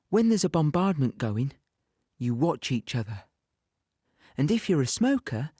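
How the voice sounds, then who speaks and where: An actor playing a plainspoken, weary infantryman in an audio play, reminiscing in a halting, reflective, conversational way. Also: a little high, dark tone, dry recording, studio quality. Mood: matter-of-fact. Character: young British infantryman recounting war, plainspoken, weary, wistful. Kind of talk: audio play monologue, reminiscing in present tense.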